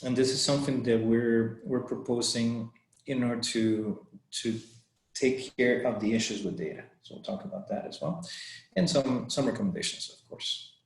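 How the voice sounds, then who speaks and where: A man's voice: mid-range voice, normal speed. A man from Rica, giving an informal webinar talk in a friendly, conversational way and often restarting his phrases. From Costa Rica. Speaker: guest presenter. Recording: echoey room.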